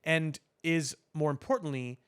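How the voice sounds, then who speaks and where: A man's voice: a little high, a little slow, a bit bright, clean tone. A man co-hosting a podcast, explaining his opinions in casual, opinionated talk about superhero films. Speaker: podcast co-host.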